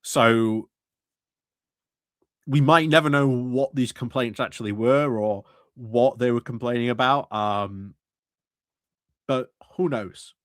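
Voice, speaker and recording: A man's voice: mid-range voice, slow, long pauses, fairly bright tone, dry recording. Hesitant man commenting, dry close mic. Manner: hesitant, many fillers and restarts. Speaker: political commentary streamer. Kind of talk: casual commentary over meeting clips.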